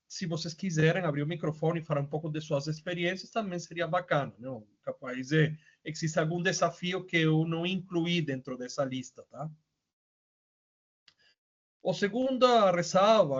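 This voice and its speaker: Venezuelan-accented male presenter, casual and chatty with lots of fillers, dull lo-fi call audio. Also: a little high. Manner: casual, many fillers and tag questions. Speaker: webinar presenter. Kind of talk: online webinar presentation. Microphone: video call. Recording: muffled lo-fi audio.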